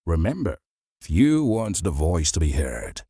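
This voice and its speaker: Very deep, husky Nigerian man. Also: wildly swooping pitch, unhurried, mellow, no room sound, studio quality. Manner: conversational, motivational coaching. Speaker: voice-over artist hosting a show. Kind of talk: advice monologue to listeners.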